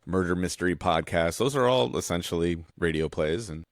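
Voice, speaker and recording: An American man with a low voice hosts a casual podcast chat. He explains things informally, and the sound is dry, with very little room in it. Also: fairly quick, no room sound. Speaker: podcast host.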